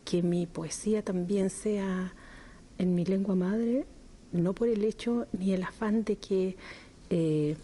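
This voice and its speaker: A middle-aged woman from Chile, a poet answering interview questions slowly and thoughtfully, with frequent hesitations. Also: mid-range voice, mellow, a little hiss or hum.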